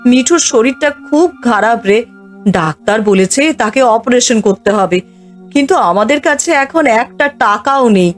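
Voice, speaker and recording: A woman as high-voiced, worried, nagging wife. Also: animated, moderate pace, faint music behind, close to an everyday voice. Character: nagging, worried farmer's wife, sharp-tongued yet practical.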